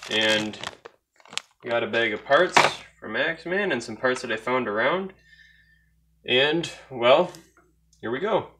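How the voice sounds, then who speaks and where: A man's voice: mid-range voice, lively intonation, slow pace, long pauses, heavy background noise. A man casually narrating a repair job as he works, thinking aloud in an offhand way. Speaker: hobbyist radio repairer. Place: indoor workbench.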